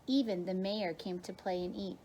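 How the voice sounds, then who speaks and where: A woman's voice: medium pitch, normal speed, mellow, slight background noise. A woman teacher reads a children's picture book aloud expressively, drawing out key words for emphasis. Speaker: kindergarten teacher reading aloud.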